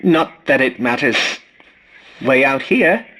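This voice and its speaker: A fussy, swooping robot butler. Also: male voice, medium pitch, animated, takes it slowly, sharp tone, full character voice. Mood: dry, grumbling. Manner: prim, formal, dryly sarcastic. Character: fussy, polite, snarky robot scavenger butler. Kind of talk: scripted video game character lines.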